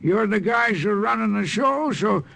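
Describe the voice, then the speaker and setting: A half-cracked old dockside drunk and informer in a vintage radio play. His voice is high, creaky and piercingly bright. He wheedles and rambles in a folksy, ingratiating way. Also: lively intonation, normal speed, heavily acted. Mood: sly, ingratiating. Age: older. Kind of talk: old-time radio drama acting. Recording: vintage radio drama recording.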